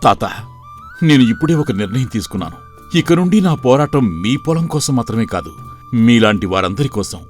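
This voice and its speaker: A man playing an earnest, resolute young village idealist in a moral story, speaking deliberately and persuasively. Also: mid-range voice, big pitch swings, darkish tone, close to an everyday voice. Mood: earnest resolve. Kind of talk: acted dialogue in a moral story. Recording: music bed under the voice.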